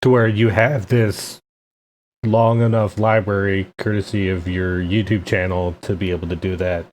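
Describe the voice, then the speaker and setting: A man's voice: low voice, flat delivery, normal speed, a little mellow, slight background noise. A man hosting a casual podcast interview, asking teasing, easygoing questions. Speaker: podcast host.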